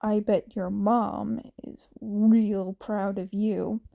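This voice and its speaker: A woman's voice: medium pitch, little pitch movement, drawn-out words, vocal fry, dull audio. A woman voicing an intense teenage boy hero trainee in a story, goading and taunting his opponent. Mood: snapping.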